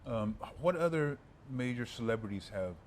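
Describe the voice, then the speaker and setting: A man's voice: mid-range voice, expressive, a little hiss or hum. A man hosting a casual interview show, upbeat and full of affirmations, often restarting his sentences. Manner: enthusiastic, constant backchannel, halting restarts. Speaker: talk show host, pastor.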